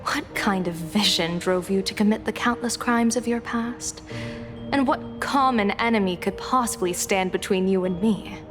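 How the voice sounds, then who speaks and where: A woman playing a coolly composed female general in a game cutscene, speaking formally and with measured authority. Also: medium pitch, close to an everyday voice. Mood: cold, accusing. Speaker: military general. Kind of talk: dramatic game cutscene acting. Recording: dramatic music behind.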